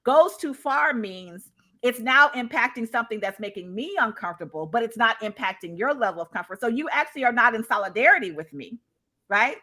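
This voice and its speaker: A woman's voice: on the high side, lively intonation, a little fast, bright, forceful tone. A woman speaking as a guest in a live discussion, conversational and emphatic, often checking in as she shares her experience. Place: live online video call.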